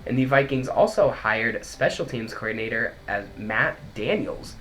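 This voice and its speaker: A man's voice: mid-range voice, normal speed, fairly bright tone, a little hiss or hum. A young man co-hosting a casual sports podcast, his voice congested from a head cold, chatting his way through a news rundown. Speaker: podcast co-host.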